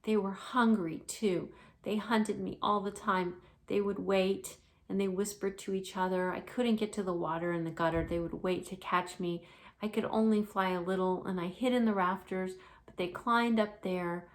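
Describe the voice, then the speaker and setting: A woman voicing a frightened young female winged kitten from a storybook, in short halting phrases. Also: medium pitch, steady tone, close to an everyday voice. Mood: shaken, confiding. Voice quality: hoarse, hissing when afraid.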